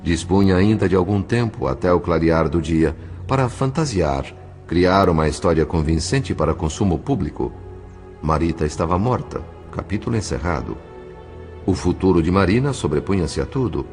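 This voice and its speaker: Male narrator for audio fiction: low voice, measured scripted delivery, faint music underneath. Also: lively intonation, a little mellow.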